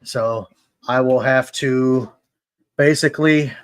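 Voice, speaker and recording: A man hosting a casual live stream about fishkeeping, talking in a relaxed, rambling way with many fillers. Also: mid-range voice, takes it slowly. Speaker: host.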